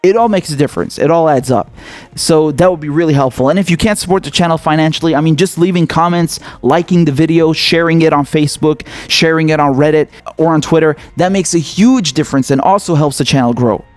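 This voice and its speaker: A man's voice: fairly high pitch, brisk, dry recording, faint music behind. A man from Syria who hosts an independent political channel, talking casually and earnestly as he thanks his viewers. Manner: earnest and grateful, casual slang. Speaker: independent political YouTube host.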